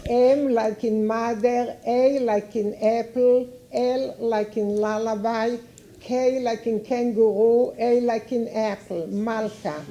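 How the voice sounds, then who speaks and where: An older woman with an Israeli accent speaks during public comment at a city council meeting. She takes her time and spells out her name letter by letter. Her voice is soft, dark and unhurried. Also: on the high side.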